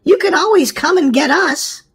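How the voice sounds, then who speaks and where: A woman's voice: very high voice, animated, normal speed, pitched above the performer's own voice. A woman voicing a concerned, kindly grandfather who explains things reassuringly in a children's storybook. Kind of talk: children's storybook read-aloud.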